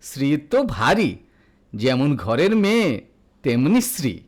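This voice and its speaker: A man's voice: mid-range voice, wildly swooping pitch, slow, heavily acted. A man voicing a spiteful, scornful mother-in-law in a story reading, snapping and sarcastic.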